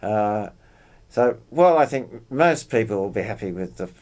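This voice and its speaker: Man in halting, detailed technical chat. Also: lowish voice, animated, darkish tone. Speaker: club member discussing radio gear.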